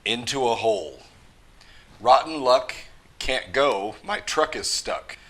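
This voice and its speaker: A man's voice: mid-range voice, expressive, slow, fairly bright tone, slightly rough. A man reading a rhyming picture book aloud to children with an emphatic, rhythmic delivery. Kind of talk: animated children's story read-aloud.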